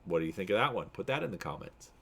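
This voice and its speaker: A man's voice: low voice, big pitch swings, rapid-fire, vocal fry, fairly bright tone, slightly rough. A man reading an adventure gamebook aloud as narrator and host, in a dramatic storytelling style.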